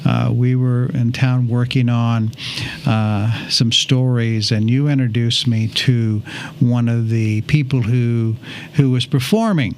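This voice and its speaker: A man hosting a casual conversational interview, speaking in reflective, halting phrases. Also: medium pitch, fairly even intonation. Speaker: podcast host. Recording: background noise behind voice.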